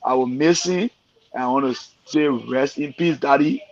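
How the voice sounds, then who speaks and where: Gravelly man, dull lo-fi sound. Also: mid-range voice, normal speed. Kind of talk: casual radio talk, personal remembrance.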